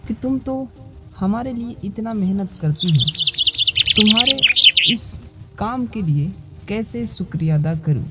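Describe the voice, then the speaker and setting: Slow-talking little mother bird played by a narrator. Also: medium pitch, animated, natural voice. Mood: grateful, asking. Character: small chatty mother bird, grateful and eager. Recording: dull lo-fi sound, music behind.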